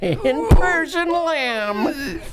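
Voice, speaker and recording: A woman's voice: high voice, animated, drawn-out words, exaggerated voice. A woman playing a menacing male crook in a live old-time radio play, taunting and mock-tender. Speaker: community theater actor.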